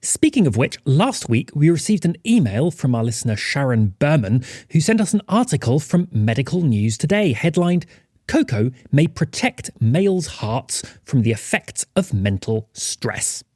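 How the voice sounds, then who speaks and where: A man with a mid-range voice that moves around in pitch a lot. He delivers a playful scripted podcast intro, recorded very dry and close. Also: big pitch swings, normal speed, a little mellow, no echo. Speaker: podcast host.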